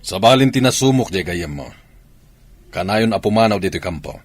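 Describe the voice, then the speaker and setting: A man as an ilocano army husband, husky, swings his pitch a lot. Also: lively intonation, normal speed, no echo, soft background music. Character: married army man, commander at camp. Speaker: soldier husband and father. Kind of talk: radio drama acting.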